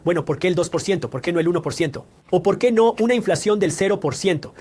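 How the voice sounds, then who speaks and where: A man's voice: high-pitched, darkish tone, husky. A man hosting a scripted finance explainer, talking straight to the viewer and posing questions he then answers. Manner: direct, asks questions and answers them. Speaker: personal finance educator.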